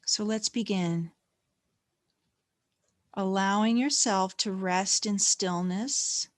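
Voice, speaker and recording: Slow, gentle woman guiding meditation. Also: mid-range voice, dry recording, studio quality. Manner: gentle, calm, unhurried, with long pauses. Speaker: meditation guide. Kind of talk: guided meditation narration.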